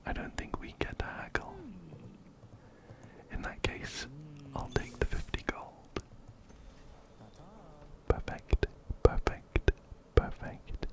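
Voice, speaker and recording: A man whispering softly and breathily, close up to a binaural microphone, gently repeating phrases as he gives soothing ASMR game commentary. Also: takes it slowly, long pauses, rough recording. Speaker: ASMR host playing a video game.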